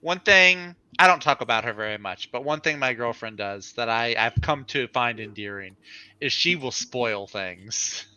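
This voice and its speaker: A man on a livestream panel, chatting casually in an animated, conversational way. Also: medium pitch, moderate pace, clear, resonant tone, muffled recording. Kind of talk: casual chat.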